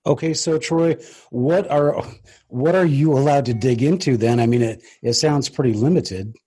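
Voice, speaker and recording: A man's voice: mid-range voice, normal speed, warm tone, breathy edge, dry recording. A man hosting an interview, putting questions and comments to his guest in a conversational style. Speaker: interview host.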